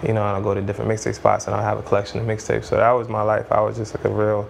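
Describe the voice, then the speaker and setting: A man's voice: on the low side, completely flat delivery, racing, vocal fry, slight background noise. A man in his thirties from Trinidad, raised in Brooklyn, telling stories in a loose, rambling interview way. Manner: loose, rambling, lots of "you know what I mean". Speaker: music executive guest. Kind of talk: casual interview storytelling.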